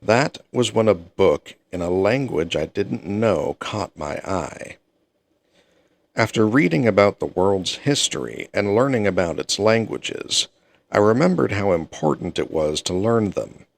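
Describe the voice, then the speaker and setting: A man reading a fantasy novel aloud in the first person, at an even, unhurried pace. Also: lowish voice, vocal fry. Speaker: audiobook reader.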